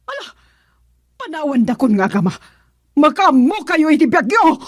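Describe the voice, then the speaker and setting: A woman's voice: high-pitched, sing-song, moderate pace, dry recording, studio quality. An actress in an Ilocano radio drama playing an aggrieved mother and quarrelsome wife, accusing and scolding. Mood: dismayed, pleading.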